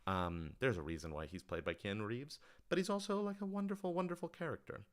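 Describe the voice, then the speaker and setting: A man's voice: medium pitch, fast talker. A man talking casually and thoughtfully as a writer-director answering audience questions on a livestream, working out his explanations as he goes.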